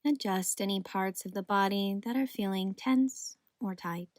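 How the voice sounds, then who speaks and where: A woman guiding a meditation in a soothing, gentle, unhurried manner. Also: mid-range voice, dry recording, clean studio sound. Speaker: meditation guide. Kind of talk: guided meditation narration.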